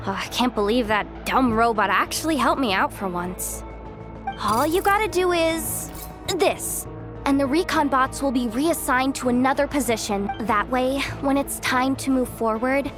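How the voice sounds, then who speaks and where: High-voiced cheeky young thief girl. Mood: chatty, cheeky. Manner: flippant, chatty, theatrical. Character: cheeky young female thief and treasure hunter, breezy and evasive. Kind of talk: animated video game voice acting.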